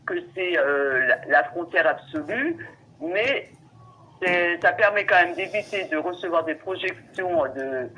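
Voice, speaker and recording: Female adviser, bright forceful voice, formal but halting and conciliatory, phone call with faint noise. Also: on the low side, animated. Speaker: legal adviser at a medical council.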